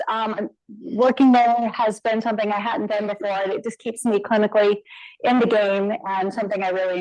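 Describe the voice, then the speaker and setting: A woman's voice: mid-range voice, dry recording, poor audio. A woman nurse informaticist giving a conference presentation into a mic, conversational with frequent fillers and asides.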